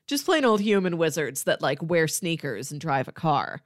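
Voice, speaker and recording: A woman's voice: low-pitched, big pitch swings, moderate pace, no room sound, clean studio sound. A woman co-hosting a podcast in an informal, conversational style. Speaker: podcast co-host. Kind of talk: casual chat.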